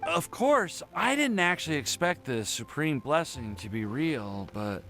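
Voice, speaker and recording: High, flustered, stammering young alchemist. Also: male voice, very animated, unhurried, a bit bright, soft background music, exaggerated voice. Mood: dejected, sheepish. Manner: stammering, hesitant, sighing. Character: anxious, lovesick, flustered young male alchemist. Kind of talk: anime-style game voice acting.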